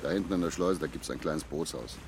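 An actor playing a middle-aged barge skipper with a shady past, gruff but kindly, speaking haltingly and colloquially in a radio drama. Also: male voice, very deep voice, noisy background. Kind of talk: German audio drama acting, storytelling.

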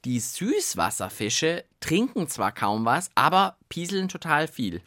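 Animated, playful podcast co-host, dry sound. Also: medium pitch, measured pace, dry recording. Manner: colloquial, jokey. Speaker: co-host of a children's science podcast. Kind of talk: casual playful chat, explaining for children.

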